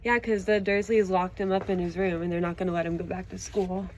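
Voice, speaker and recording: A woman's voice: on the low side, level tone, a little fast, a little hiss or hum. A woman reading stories aloud expressively and chatting casually about them. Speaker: audiobook reader and show host. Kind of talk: expressive storybook narration, then casual chat with a child listener.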